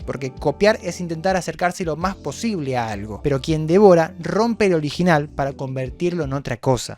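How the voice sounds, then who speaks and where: A man with an Argentine accent who hosts a video essay, explaining his ideas in a reflective way with informal asides. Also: on the high side. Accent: Argentine Spanish. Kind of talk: scripted video essay narration.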